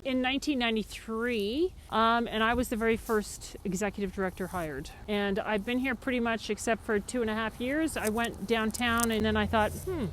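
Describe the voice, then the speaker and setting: A woman's voice: a little high, moderate pace, hardly any pauses, slight background noise, poor audio. A woman who runs a business association, speaking with enthusiasm in a documentary interview. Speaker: business association executive director.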